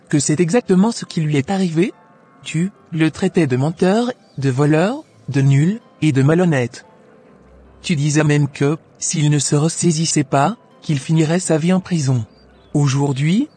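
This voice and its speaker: A man playing a frank, motherly aunt in an amateur cartoon, husky and measured as he admonishes over music. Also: a little high, a little mellow. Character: motherly aunt, frank moral adviser, female shopkeeper. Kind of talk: amateur animated drama acting. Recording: background music.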